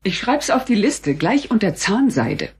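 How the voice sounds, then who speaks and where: A woman playing a firm, sceptical mother with a dry, sarcastic manner and a husky voice in a German children's audio drama. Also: medium pitch, sing-song, unhurried, heavily acted. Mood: brisk, dry. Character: brisk, sceptical mother of the family.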